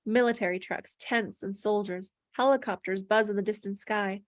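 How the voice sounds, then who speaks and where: A woman's voice: medium pitch, moderate pace, dry recording. Woman reading screenplay directions, dry recording. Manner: plain descriptive reading with stumbles. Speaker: stage directions reader. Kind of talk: table read of screenplay directions.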